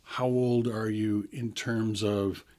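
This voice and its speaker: A man's voice: on the low side, fairly even intonation, takes it slowly, dark tone. Chatty man in his sixties hosting radio. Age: 60s. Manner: chatty, explanatory, laughs easily. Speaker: radio show host. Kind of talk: casual radio talk.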